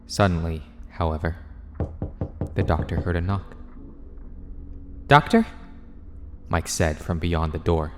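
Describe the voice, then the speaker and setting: A man's voice: sits low, flat delivery, moderate pace, darkish tone, long reverb tail, a little hiss or hum. A man narrating a scripted dramatic story for an audio drama.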